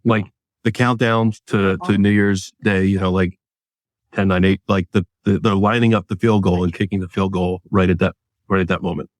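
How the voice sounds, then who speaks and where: Low-voiced chatty male co-host. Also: steady tone, moderate pace, no room sound. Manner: chatty, laughs easily. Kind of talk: casual talk show chat.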